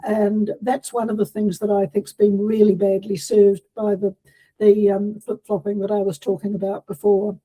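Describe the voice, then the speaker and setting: Nz woman candidate, low mellow husky voice, steady explaining. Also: moderate pace, low-quality recording. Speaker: political candidate. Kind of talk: interview answer.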